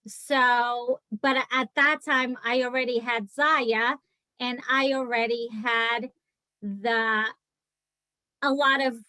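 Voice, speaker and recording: A woman's voice: on the high side, fairly even intonation, takes it slowly, sharp tone, no echo, rough recording. A woman in her forties from Portugal with a Portuguese accent, chatting on a video call from a room at home and telling her story with many fillers and laughs. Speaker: guest speaker on a team video call. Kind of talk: casual personal story.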